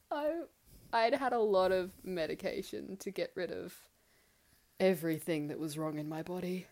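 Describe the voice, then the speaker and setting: A young woman talks with a congested, stuffy voice from a bad flu. She chats playfully and laughs easily, co-hosting a relaxed podcast with her best friend. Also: on the low side, a little slow. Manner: laughs often, chatty and playful. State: congested from the flu, voice deeper than usual. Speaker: podcast co-host. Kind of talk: casual chat between friends.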